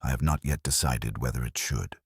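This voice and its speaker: A man's voice: extremely low pitch, a little fast, slightly rough, dry recording, voice pushed down. A man voicing a brooding, imperious, erudite male detective in an audiobook, with dry, formal, pedantic diction. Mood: dry, doubtful. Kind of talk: audiobook character dialogue.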